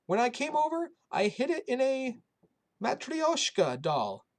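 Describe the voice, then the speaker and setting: A woman voicing a cheerful, kindly old Polish woman who cooks for others, speaking with a Polish accent in a high, doting voice. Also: wildly swooping pitch, a little slow, full character voice.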